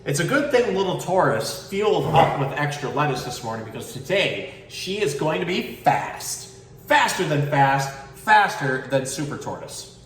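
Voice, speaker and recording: A man with a fairly high, very animated voice talks like an educational presenter. His delivery is casual and explanatory. He is recorded away from the mic in an echoey room. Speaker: zookeeper presenter. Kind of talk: casual educational talk and picture book read-aloud. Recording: roomy, distant mic, faint background noise.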